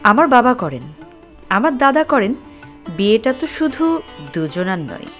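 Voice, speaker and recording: A woman playing a trusting, gently frank young woman in love in an audio drama. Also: on the high side, big pitch swings, moderate pace, faint music behind. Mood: tender, frank.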